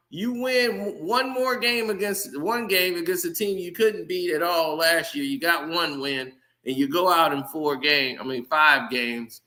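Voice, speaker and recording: Heated male sports commentator addressing viewers, bright and forceful. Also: a little high. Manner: heated, ranting, talks straight to viewers. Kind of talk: casual sports commentary rant.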